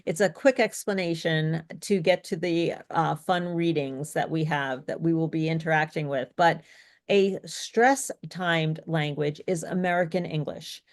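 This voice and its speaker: Woman speech coach, low-pitched voice, encouraging, explanatory, online workshop over a video call. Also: steady tone, unhurried. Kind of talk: interactive online workshop teaching.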